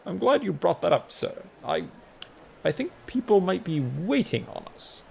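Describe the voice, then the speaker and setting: A man's voice: sits high, big pitch swings, moderate pace, vocal fry, a little mellow, slight background noise, voice pushed up, exaggerated voice. A man voicing an anxious, deferential male assistant to a god in a comic fantasy audiobook, hesitant and polite. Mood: nervous, polite.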